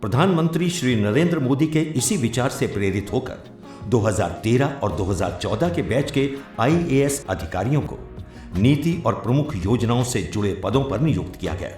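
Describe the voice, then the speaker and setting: Animated male narrator over music. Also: medium pitch, moderate pace. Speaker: narrator of a government training film. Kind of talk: formal scripted documentary narration. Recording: roomy echo, music behind. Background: background music.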